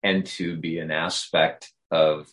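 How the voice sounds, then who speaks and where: Very low-voiced man musing on faith. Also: level tone, takes it slowly, fairly bright tone. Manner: reflective, hesitant with many fillers. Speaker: podcast co-host, coach. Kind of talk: faith podcast conversation.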